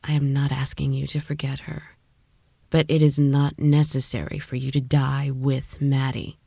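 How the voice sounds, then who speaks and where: A woman playing a patient, knowing warrior angel in an audio drama, speaking in a measured, formal way. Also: extremely low pitch, level tone, creaky, warm tone, no echo, full character voice. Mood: gentle, earnest.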